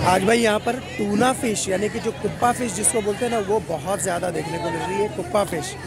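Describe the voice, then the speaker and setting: A man's voice: high-pitched, fairly even intonation, a little fast, over music. Man vlogging in a busy fish market. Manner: chatty, asks vendors prices. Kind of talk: casual market vlog. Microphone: camera at arm's length. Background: crowd of market chatter.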